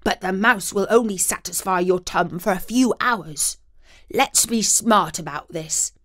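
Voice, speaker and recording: A woman doing a loud, eager scruffy storybook dog. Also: medium pitch, measured pace, close to an everyday voice. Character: scratchy, clever scruffy male mutt dog.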